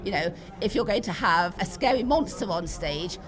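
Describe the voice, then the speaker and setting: British woman, middle-aged, mid-pitched, emphatic interview guest, conversational, recorded amid clear crowd chatter. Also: lively intonation, normal speed. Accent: British English. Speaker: interview guest, pantomime enthusiast and parent. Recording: busy crowd chatter behind. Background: chatter of people at a venue.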